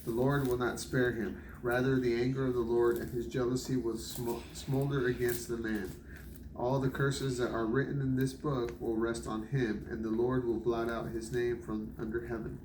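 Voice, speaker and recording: Male reader, even and deliberate scripture delivery, distant in an echoey room with clear background noise. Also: mid-range voice. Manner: measured, deliberate, stumbles over some names. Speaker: Bible reader. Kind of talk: scripture reading aloud. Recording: roomy, distant mic, noisy background.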